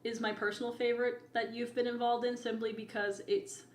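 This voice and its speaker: A woman's voice: fairly high pitch, flat delivery, a little fast. A woman co-hosting a podcast, chatting casually in an informal, opinionated, rambling way. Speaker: podcast co-host. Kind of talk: casual chat. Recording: echoey room sound.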